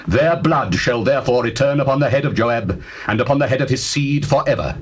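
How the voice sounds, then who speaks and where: A man playing King Solomon, a regal, devout ruler, with measured, formal declamation in a dramatized scripture reading on a noisy old cassette. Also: medium pitch, slightly rough, natural voice. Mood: stern, commanding. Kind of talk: dramatized scripture reading, classical stage acting.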